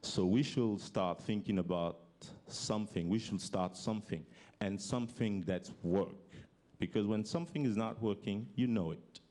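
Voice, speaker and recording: A man with a French accent, giving a halting public speech and often restarting his sentences as he searches for words in English. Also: fairly low pitch, a little mellow. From French-speaking African country. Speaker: forum speaker.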